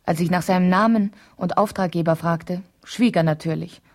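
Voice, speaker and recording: A vain, talkative wife in a seventies German radio drama. Her voice is fairly low for a woman and softly mellow. She chatters on, wanders off the point and justifies herself with an indignant edge. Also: fairly even intonation, moderate pace. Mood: gossipy. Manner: chatty, digressive, self-justifying. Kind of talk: 1970s German radio play, letter monologue.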